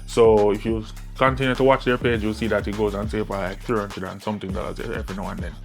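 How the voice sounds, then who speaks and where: A man's voice: fairly low pitch, little pitch movement, fast talker, faint music behind. A man giving a casual, conversational product review, often checking in with the listener with short tag questions.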